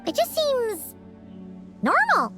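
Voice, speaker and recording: A woman's voice: extremely high pitch, wildly swooping pitch, takes it slowly, bright, forceful tone, full character voice. A woman voicing a tiny childlike fairy companion in a video game, cheeky, nosy and blunt. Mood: skeptical. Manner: childish, nosy, refers to self in third person. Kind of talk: scripted video game voice acting. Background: game music.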